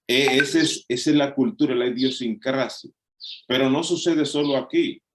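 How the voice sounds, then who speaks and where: A man's voice: medium pitch, fairly even intonation, moderate pace, reverberant, background noise. A middle-aged Dominican man with a Dominican accent, preaching a sermon in a conversational way, often restarting and filling with "eh". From Dominican Republic. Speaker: pastor.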